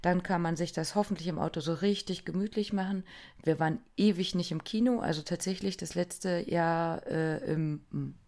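A woman's voice: fairly low pitch, moderate pace, a little mellow. A woman co-hosting a podcast, chatty and casual, with frequent fillers and laughter. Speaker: podcast co-host. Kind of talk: casual chat.

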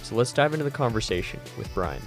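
A man's voice: mid-range voice, big pitch swings, fast, music in the background. A man hosting a podcast, eager and friendly in his phrasing, asking a guest loose questions with fillers. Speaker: podcast host. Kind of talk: casual interview conversation.

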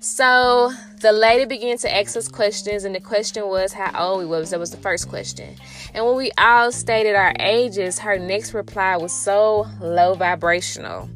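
A woman's voice: medium pitch, fairly even intonation, normal speed, fairly bright tone, soft background music. A middle-aged woman telling a personal story in a rambling, reflective way, with many restarts and fillers and a spiritual turn. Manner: rambling, full of "you know" and restarts. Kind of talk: casual spoken storytelling.

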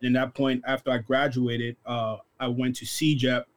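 A man's voice: mid-range voice, level tone, normal speed. A man in his twenties from Canada, born in Congo, giving casual interview answers as a guest athlete. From born in Congo, grew up in Canada from about eight. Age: 20s. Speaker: guest, football draft prospect.

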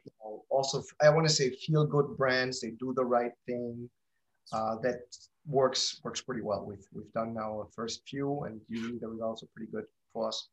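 German man chatting casually, halting delivery. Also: medium pitch, slight background noise, rough recording. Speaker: podcast guest, company founder. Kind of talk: casual interview chat.

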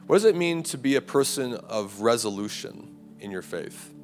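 A man preaching a conversational sermon to a campus ministry, his voice raspy and a little hoarse. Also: mid-range voice, lively intonation, moderate pace. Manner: conversational preaching with rhetorical "right?" and "like". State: hoarse, clearing throat. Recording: live hall recording, long reverb, faint music.

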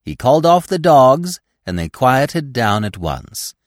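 A man voicing a naive, boastful young male deer in a storybook, with a calm, self-satisfied manner. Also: mid-range voice, a little slow, no room sound, studio quality, voice pushed up. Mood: awed, dramatic. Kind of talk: storybook character voice.

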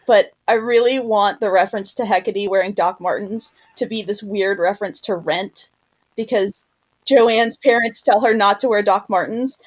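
A woman's voice: mid-range voice, fairly even intonation, normal speed, rough recording. A woman co-hosting a podcast in casual chat.

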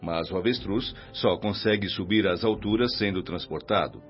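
An actor playing a calm, kindly spirit mentor in a Brazilian radio drama, measured, reassuring and didactic. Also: male voice, deep voice, close to an everyday voice. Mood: patient, instructive. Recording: dull lo-fi radio recording, music behind.

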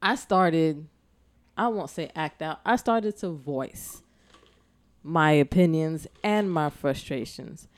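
A woman with a lowish, smooth and resonant voice tells a story in relaxed podcast chat. She acts out each line of dialogue as she goes. The sound is dry and close to the mic. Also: slow, no room sound. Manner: animated storyteller acting out dialogue. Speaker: podcast co-host.

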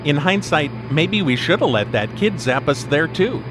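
Sarcastic, animated man in a radio play. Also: a little high, moderate pace, background noise. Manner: sarcastic, exasperated. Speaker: adventurer in an audio drama. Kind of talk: casual audio drama acting.

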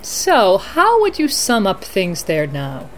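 A woman hosting as a news anchor, reading a scripted bulletin in a measured, formal newsreader delivery. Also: mid-range voice, wildly swooping pitch, slight background noise. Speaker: podcast host as news anchor. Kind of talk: scripted mock news broadcast.

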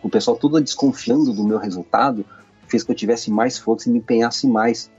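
A man talking as a podcast guest, telling his story in a lively, casual way and often calling his host "cara". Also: mid-range voice, brisk, breathy edge, dull audio, faint music behind. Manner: animated storytelling, peppered with "cara".

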